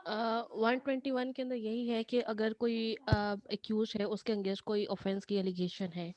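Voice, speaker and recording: A woman's voice: on the high side, little pitch movement, a little slow, darkish tone. Pakistani woman, a polite law student. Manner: polite, mixes Urdu with English legal terms. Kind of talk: asking and answering in class.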